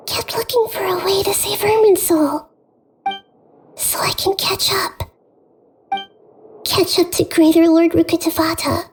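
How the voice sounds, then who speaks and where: A woman voicing a small, gentle child-like deity in a video game, lonely and earnest, with a soft, breathy, hesitant delivery. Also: extremely high pitch, slight background noise, heavily acted. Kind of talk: dramatic video game dialogue. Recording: music under the voice. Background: game music.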